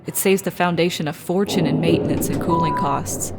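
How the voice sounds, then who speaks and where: An actress plays a composed female tour guide at a research facility in an audio horror story. Her voice is on the low side and matter-of-fact, ringing with long reverb over clear music. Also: steady tone, fairly quick, close to an everyday voice. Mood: composed, informative. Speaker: voice actor.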